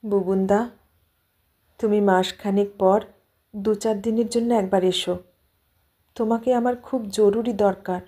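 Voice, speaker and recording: A grieving young widow from an audio story, acted by a woman narrator. She is earnest and dignified, with feeling in her mid-pitched voice and resolve beneath the sorrow. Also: fairly even intonation, a little slow, long pauses, warm tone, slightly rough. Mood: subdued, earnest. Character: grief-stricken young widowed sister-in-law, dignified and resolute.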